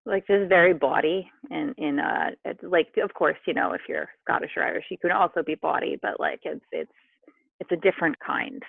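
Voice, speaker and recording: An American woman, a podcast guest, chatting casually in a lively, eager, talkative way. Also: lowish voice, normal speed, creaky, breathy edge, dry recording. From southern US. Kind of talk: casual chat. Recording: tinny phone-like call line.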